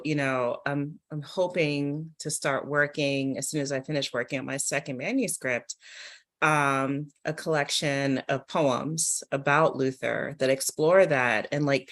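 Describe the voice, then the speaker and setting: A woman's voice: rumbling low, a bit bright. A woman poet talking casually on a literary panel, informal and discursive, with playful asides.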